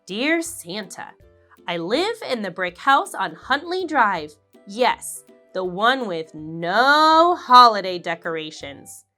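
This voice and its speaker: Hopeful young girl, read by a woman; bright, slow storybook delivery. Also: mid-range voice, very animated, soft background music, full character voice. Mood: pleading.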